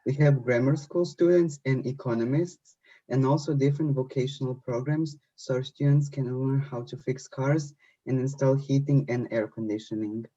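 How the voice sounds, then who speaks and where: Very mellow, dark-voiced Croatian-accented man. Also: mid-range voice. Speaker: school presenter. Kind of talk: scripted presentation. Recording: muffled lo-fi audio.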